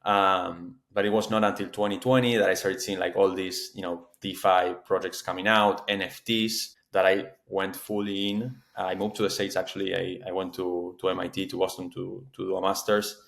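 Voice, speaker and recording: A man, a startup founder speaking as a guest in a casual podcast interview and talking in a measured way with many fillers and restarts. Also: low voice, flat delivery, creaky, fairly bright tone. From Argentina.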